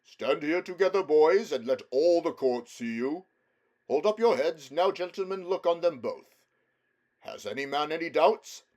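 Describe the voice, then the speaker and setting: A man voicing a bluff, hearty older king with a gruff, commanding way of speaking. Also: expressive, measured pace, a little mellow. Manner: booming, commanding, old-fashioned formal speech.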